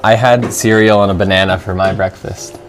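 A man's voice: sits low, fairly even intonation, normal speed, faint music behind. A young man co-hosting a podcast, chatting casually, teasing and laughing often. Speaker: podcast co-host.

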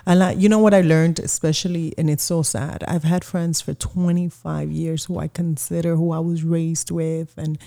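A woman's voice: sits low, flat delivery, normal speed, creaky, few pauses, dark tone. A woman in her forties, a small business owner, chatting casually and rambling with lots of fillers in an interview. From Colombia.

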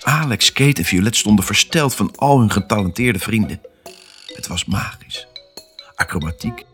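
Low-pitched male storyteller over music. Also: animated, normal speed, fairly bright tone. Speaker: narrator. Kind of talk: scripted children's story narration.

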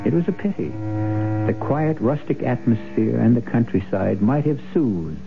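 Theatrical, expressive male radio mystery host. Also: medium pitch, vocal fry. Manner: theatrical, wry, measured. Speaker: host and narrator. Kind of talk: 1970s radio mystery narration. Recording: tinny old radio broadcast, music underneath. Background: music.

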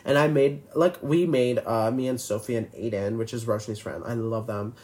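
A man's voice: medium pitch, normal speed, a little mellow. A twenty-year-old man hosting a casual solo podcast, rambling and filler-heavy, constantly restarting his sentences. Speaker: podcast host.

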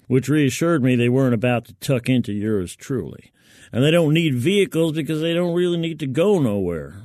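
A man's voice: mid-range voice, darkish tone, no room sound, clean studio sound. A man narrating a first-person story in a colloquial, wry, folksy manner. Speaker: fiction narrator.

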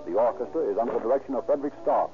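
A man's voice: mid-range voice, expressive, racing, over music. A man with an American accent delivering scripted 1930s radio announcing and narration. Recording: tinny, noisy vintage radio broadcast.

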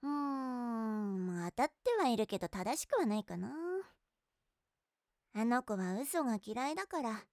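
女性声優が、のんびりしていて人をからかうのが好きな女子高生を演じている。. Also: female voice, fairly high pitch, lively intonation, measured pace, darkish tone, dry recording, studio quality. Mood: easygoing. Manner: playful, teasing. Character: teasing, easygoing high school girl. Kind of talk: voice drama acting.

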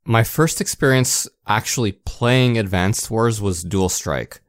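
Low-pitched man co-hosting, close and dry. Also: a little slow, no room sound. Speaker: podcast co-host. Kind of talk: casual podcast chat about video games.

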